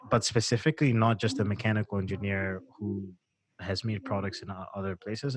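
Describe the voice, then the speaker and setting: A man's voice: on the low side, poor audio. A man hosting a live-stream chat about manufacturing. He talks loosely and uses plenty of fillers. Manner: relaxed, reads out viewer comments, many fillers. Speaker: host of a sourcing show.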